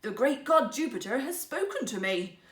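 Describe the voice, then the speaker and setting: A woman's voice: high voice, very animated, normal speed. A woman voicing a poor woodcutter husband in a fairytale, earnest, emphatic, teasing and easily riled. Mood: earnest, excited. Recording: roomy, echoing sound.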